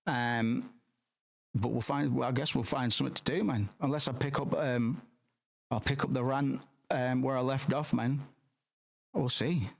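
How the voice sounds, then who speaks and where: A hoarse man rambling casually on a live stream, swearing often and tagging "man" onto his sentences. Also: medium pitch, fairly even intonation, moderate pace. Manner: rambling, profane, keeps saying "man".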